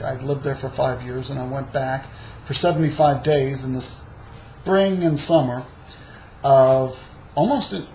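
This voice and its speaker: A man's voice: fairly high pitch, a little mellow. A man from the United States telling a long, rambling travel anecdote in a casual way, full of fillers and side remarks. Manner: rambling, with many fillers and asides. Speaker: storyteller. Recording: dull lo-fi recording with faint hiss.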